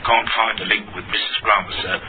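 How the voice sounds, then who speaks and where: A dutiful police operations officer in an old radio drama, played by a man. The voice is fairly low and delivers clipped, businesslike reports. The sound is narrow, with music behind. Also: flat delivery, fairly quick, natural voice.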